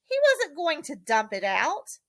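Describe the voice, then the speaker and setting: A woman reading a children's storybook expressively, with dramatic, deliberate emphasis. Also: sits high, very animated, no echo, studio quality. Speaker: audiobook reader, teacher.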